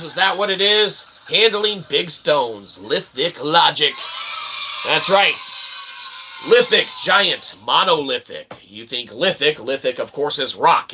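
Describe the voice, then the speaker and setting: A man hosting his own channel, delivering a rambling, wordy philosophical monologue with sudden excited outbursts. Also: medium pitch, slight background noise, low-quality recording. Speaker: channel host.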